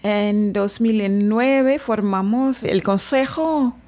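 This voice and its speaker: A woman's voice: medium pitch, drawn-out words, a little mellow. Brazilian woman guest speaking Spanish. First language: Portuguese. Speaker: guest, translator and interpreter. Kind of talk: interview.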